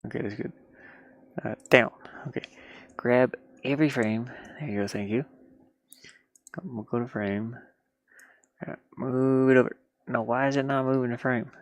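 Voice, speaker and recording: A man with a mid-pitched, gravelly voice talks himself through game design work in a casual, tired way. He mutters and grumbles at his software. The headset sound is close and dry. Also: fairly even intonation, normal speed, pauses often, no room sound. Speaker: indie game developer working on camera. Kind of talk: casual thinking-aloud screen-work stream.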